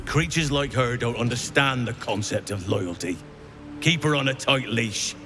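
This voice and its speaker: An actor playing a stern, contemptuous guard in a video game, curt and commanding. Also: male voice, medium pitch, moderate pace, close to an everyday voice. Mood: suspicious, contemptuous. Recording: background music.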